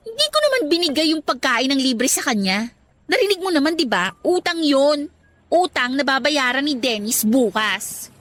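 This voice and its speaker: An actress playing a bubbly, lovestruck young female student in a radio drama, animated and quick to defend herself. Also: high voice. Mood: defensive.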